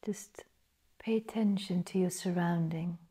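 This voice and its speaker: Soft, husky, slow-speaking woman meditation guide. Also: lowish voice, fairly even intonation. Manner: soft, breathy, partly whispered delivery; slow, measured, pausing between phrases.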